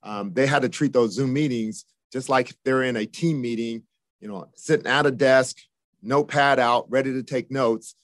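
A football coach in his fifties answers questions at a steady, measured pace. His voice sits at a middle pitch and is peppered with "you know" and "uh." The recording is dry and close, with no room sound. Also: no echo. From Japan, raised in the United States from age seven.

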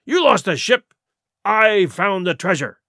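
A man's voice: high voice, sing-song, unhurried, pushed and bright, dry recording, studio quality, exaggerated voice. A man voicing a sly, domineering pirate sea cook in an audiobook, with blustering, derisive, rustic seafaring talk. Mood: indignant. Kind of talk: audiobook character voice.

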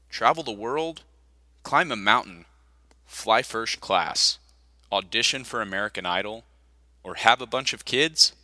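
Very bright, crisp male audiobook narrator. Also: fairly low pitch, dry recording.

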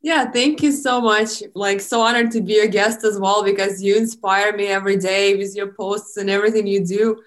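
A woman's voice: medium pitch, steady tone, moderate pace, hardly any pauses. A woman from eastern Ukraine, a native Russian speaker with a Russian accent, talking casually as a podcast guest. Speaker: podcast guest, blogger and model. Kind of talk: casual interview talk.

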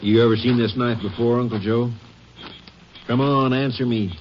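Actor as a blunt, stern small-town sheriff. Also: male voice, mid-range voice, fairly even intonation, moderate pace, natural voice. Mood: stern interrogation. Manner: blunt, pressing. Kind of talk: 1950s radio drama acting. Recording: dull, faintly noisy old radio broadcast.

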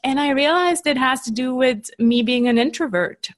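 A woman's voice: sits high, normal speed. A woman from Switzerland with a Canadian-tinged English accent, talking casually as a podcast guest about her coaching business and laughing easily between points. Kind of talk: casual interview chat.

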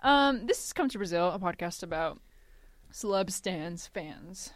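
A woman's voice: fairly low pitch, a little slow, no echo. A woman co-hosting a podcast with casual, playful comedic banter. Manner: playful, animated. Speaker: podcast co-host.

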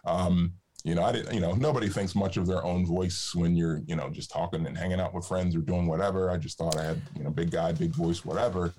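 A man with a deep, resonant low voice, chatting casually as a podcast guest with plenty of fillers. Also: a little fast, hardly any pauses, slight background noise. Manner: relaxed, rambling with fillers. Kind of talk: casual conversation.

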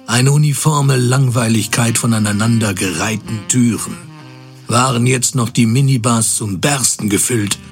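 A man as the narrator of a comedy audio drama, delivering scripted narration in a measured, grandiose, tongue-in-cheek way. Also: mid-range voice, natural voice.

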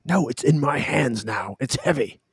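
A man's voice: mid-range voice, lively intonation, normal speed, slightly rough, no room sound, voice pushed up. A man voicing a pompous, lecherous older male general who talks in a fussy, smarmy way and keeps saying "yes" and "my dear". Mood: huffy.

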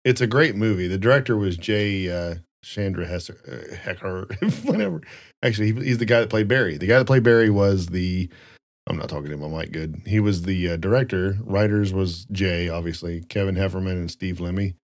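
A man with an American accent and a fairly low voice, recorded close and dry. He chats casually and rambles through stories in a joking way, like a podcast host. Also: a little fast, dry recording. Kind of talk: casual chat and storytelling.

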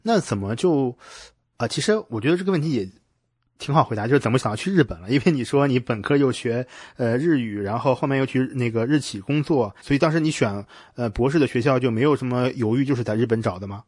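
A man with a mid-pitched voice hosts a relaxed interview chat in a very dry recording. He feels his way into questions with informal, slightly hesitant phrasing. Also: fast, no echo. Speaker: podcast host, interviewer.

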